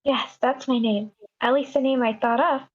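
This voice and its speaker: A woman acts out a frightened young woman who has lost her memory. Her voice is high and confused, as in a script read over an online meeting, and the sound is dull and lo-fi. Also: fairly even intonation, moderate pace, close to an everyday voice. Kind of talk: live screenplay table read. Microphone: remote online meeting.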